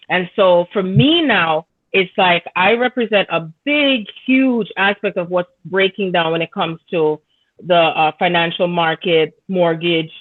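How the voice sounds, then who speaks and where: A woman from Jamaica, a guest on a spiritual talk show, speaking earnestly and rambling with many fillers and restarts. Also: fairly low pitch. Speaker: podcast guest, spiritual reader. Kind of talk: casual spiritual conversation. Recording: tinny phone-like line.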